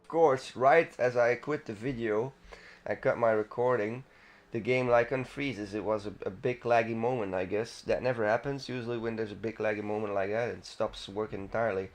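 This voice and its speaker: A man's voice: on the low side, steady tone, moderate pace. A man hosting a gaming video, giving casual, unscripted walkthrough commentary in a rambling, self-interrupting manner.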